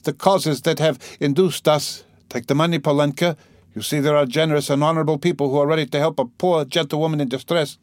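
Man voicing a frantic, haughty, high-pitched gentlewoman. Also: fairly even intonation, quick pace, no room sound, clean studio sound, close to an everyday voice. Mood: lofty, pleading. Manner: lofty, wailing, rambling, abruptly switching to scolding. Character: distraught, haughty, ailing impoverished gentlewoman, frantic and scolding. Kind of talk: dramatised audiobook character reading.

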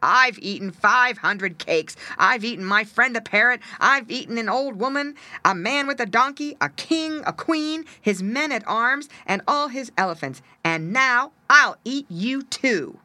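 A woman voicing a greedy, menacing fat cat in a storybook, taunting and boastful with drawn-out brags. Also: on the high side, lively intonation, pushed and bright, no room sound, voice pushed up, exaggerated voice. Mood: menacing, gloating.

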